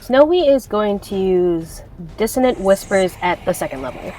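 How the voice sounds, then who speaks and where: A woman's voice: mid-range voice, animated, normal speed, mellow, a little hiss or hum, rough recording. A woman playing a tabletop fantasy game, casually calling out her moves and rolls.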